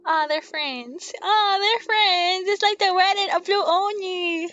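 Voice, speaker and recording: A woman's voice: very high-pitched, unhurried, a bit bright, dull audio. A woman giving casual, sweary, irreverent commentary as she streams a video game. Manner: blunt, irreverent.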